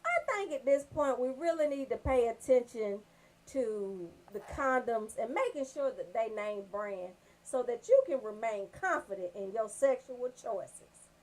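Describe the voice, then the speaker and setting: High-pitched, animated woman radio comedian. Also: measured pace, low-quality recording. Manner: brash, animated storytelling with emphatic check-ins. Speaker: radio show host. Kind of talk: comedic radio monologue, raunchy banter.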